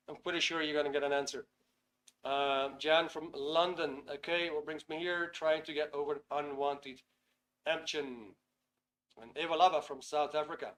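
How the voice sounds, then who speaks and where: A man with a Dutch accent, hosting an online webinar in a chatty, upbeat way and reading out viewer comments. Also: a little high. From Netherlands. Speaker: webinar host.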